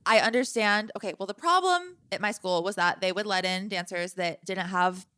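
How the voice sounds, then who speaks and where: A woman's voice: medium pitch, normal speed, sharp tone, clean tone, dry recording. A young woman co-hosting a podcast, talking casually and giving frank advice with firm emphasis. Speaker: podcast co-host. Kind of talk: casual chat.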